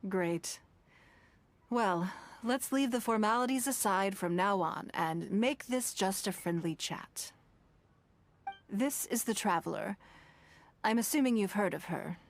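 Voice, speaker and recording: A woman playing a poised, self-assured noblewoman in a video game, with refined, measured diction and a playful side. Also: mid-range voice, animated, slight background noise. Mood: gracious.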